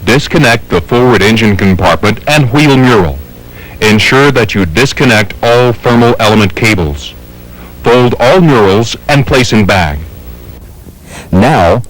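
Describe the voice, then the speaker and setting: A man's voice: medium pitch, poor audio. A man with an American accent reading scripted instructional narration in a formal, methodical, step-by-step way. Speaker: training film narrator.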